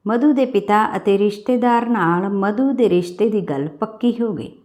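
A woman's voice: medium pitch, mellow. A woman reading a scripted story as an audiobook-style narrator.

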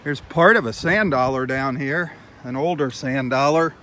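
A man's voice: mid-range voice, moderate pace, a bit bright. A man hosting a casual live nature vlog in an easygoing, chatty, marveling way. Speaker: host of a live beach walk. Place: outdoors on a sandbar at a beach. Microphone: handheld phone camera. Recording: noisy outdoor audio with lapping water.

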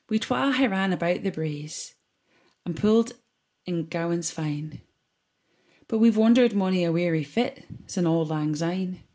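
A woman reading a scripted, reflective piece with poetry for a community gathering in a measured, earnest way. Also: mid-range voice, dark tone.

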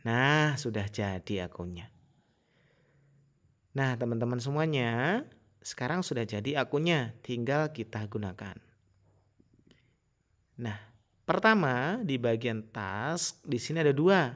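Man with lively, varied pitch. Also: takes it slowly, pauses often. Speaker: tutorial presenter. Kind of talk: casual step-by-step screen tutorial.

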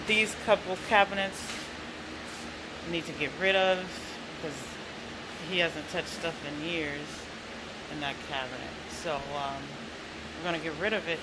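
A woman's voice: lowish voice, very noisy. A woman in her thirties chatting casually to viewers while cleaning out her garage, upbeat and chatty, recorded on a handheld camera. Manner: upbeat, chatty, laughs often. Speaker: vlogger. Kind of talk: casual cleaning vlog chat. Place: cluttered home garage. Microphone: handheld camera, selfie framing at times.

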